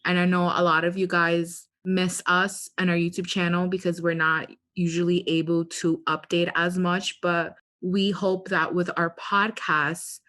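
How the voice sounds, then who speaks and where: Female podcast co-host, slightly low voice, casual opinionated chat. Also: fairly bright tone.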